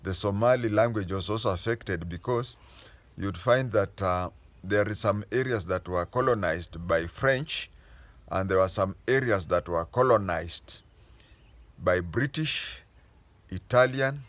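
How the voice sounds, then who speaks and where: A man with a Kenyan accent telling history in a casual lesson, unhurried, often stopping to restate and correct himself mid-sentence. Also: on the low side, no room sound. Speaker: history explainer.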